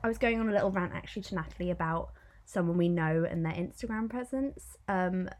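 A woman's voice: fairly low pitch, a little fast, a little mellow, slight background noise. Chatty woman, rambling and giggly. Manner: rambling, laughs often, trails off. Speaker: podcast co-host. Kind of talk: casual chat.

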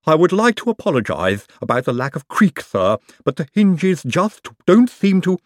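A man's voice: fairly high pitch, very animated, normal speed, dry recording, studio quality, pitched above the performer's own voice. A man voicing a gloomy, formal male servant-surgeon who speaks with a mournful deference. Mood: apologetic.

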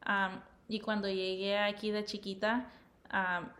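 A young woman co-hosts a casual podcast in a mid-range voice. She talks in a relaxed, unhurried way with small pauses. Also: medium pitch, slight background noise. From California. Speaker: podcast co-host. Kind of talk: casual chat.

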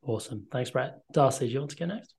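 A man's voice: mid-range voice, fast talker, a little mellow. Polite male podcast host. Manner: polite, brief prompts with ums. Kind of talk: podcast interview.

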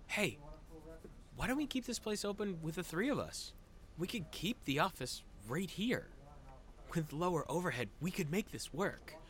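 A man playing a wry, pessimistic salesman in a fast-paced comic audio play in 1940s radio style. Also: high-pitched, expressive, a bit bright. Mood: hopeful, eager.

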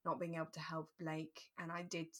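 A woman's voice: low voice, completely flat delivery, a little fast, a bit bright. A British woman co-hosting a podcast in casual, chatty conversation, sometimes acting out short quotes. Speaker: podcast co-host. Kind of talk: casual chat about a radio drama.

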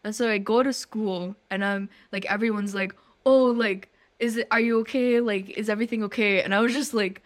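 A woman's voice: medium pitch, fairly even intonation, brisk, hardly any pauses. A young woman from Nepal co-hosting a casual chat. She talks in loose, rambling sentences full of fillers and restarts. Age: young adult. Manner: chatty, many fillers and restarts. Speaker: co-host.

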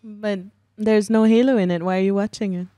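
A woman from Pakistan, a podcast guest telling stories in casual chat with quick, dark humour. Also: medium pitch, fairly even intonation, no echo, studio quality.

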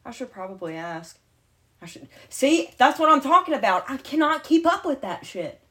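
A woman in her late twenties with a slight lisp, chatting casually as a podcast co-host, animated and jokey. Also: high-pitched, moderate pace. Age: late 20s. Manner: animated, jokey, swings loud and quiet. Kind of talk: casual chat.

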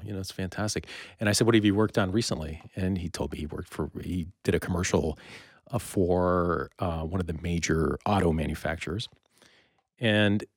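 A man's voice: deep voice, dry recording, clean studio sound. A North American man hosting a casual interview, chatting informally and telling anecdotes. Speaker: podcast host interviewing a guest.

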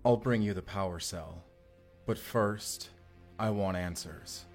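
An actor playing a weary, suspicious young time traveler in an earnest, intense sci-fi audio drama. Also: male voice, deep voice, slow, faint music behind. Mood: suspicious, confrontational. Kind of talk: immersive sci-fi audio roleplay acting.

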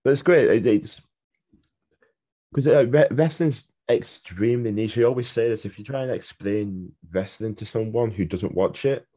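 A man's voice: mid-range voice, normal speed, mellow. A man with a British accent hosting a casual podcast interview, chatty and enthusiastic. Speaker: podcast host. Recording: dull, lo-fi sound.

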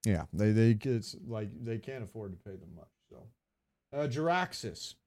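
A man's voice: fairly low pitch, big pitch swings, normal speed, a little mellow, dry recording. A man co-hosting a casual podcast chat, talking in a loose, rambling way. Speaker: podcast co-host. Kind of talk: casual chat about game voice acting.

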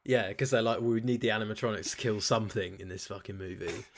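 A man's voice: on the low side, fast, a little hiss or hum. A man chatting casually about films, jokey and sweary, telling it with quoted asides. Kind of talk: casual film chat.

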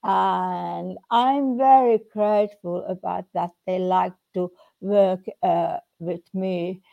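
A woman with a Finnish accent, a native Finnish speaker, giving a personal account haltingly as she searches for words. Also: on the low side, fairly even intonation, gravelly, warm tone, muffled recording. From Finland. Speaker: guest, trance medium. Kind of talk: personal account in a group conversation.

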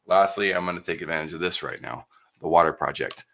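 Hoarse man, very low voice, gravelly fry, rambling podcast host. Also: sing-song, normal speed, a bit bright. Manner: rambling, upbeat, self-deprecating. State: hoarse, losing his voice. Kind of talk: live show intro and sponsor reads.

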